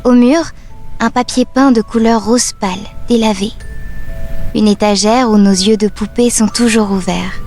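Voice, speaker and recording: A woman playing a watchful doll who narrates an audio drama in a measured, tender, descriptive way. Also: on the high side, steady tone, darkish tone, faint music behind. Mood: calm, watchful.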